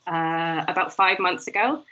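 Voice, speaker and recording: An American woman speaking on a video call, with a bright, sharp voice that sits slightly low. She talks in an easygoing way, dropping frequent "um"s. Her audio comes over a dull, lo-fi call line. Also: unhurried. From United States. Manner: easygoing, uses "um" often. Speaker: guest. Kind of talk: casual video call chat.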